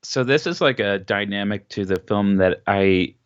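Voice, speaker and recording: A man's voice: fairly low pitch, normal speed, smooth, lo-fi sound. A man from Pennsylvania, a podcast guest chatting casually and swearing freely. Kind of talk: casual chat.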